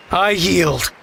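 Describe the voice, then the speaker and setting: A man's voice: high voice, vocal fry, fairly bright tone, a little hiss or hum, exaggerated voice. A man voicing a good-natured, modest young competitor in a video game, conversational, with music behind.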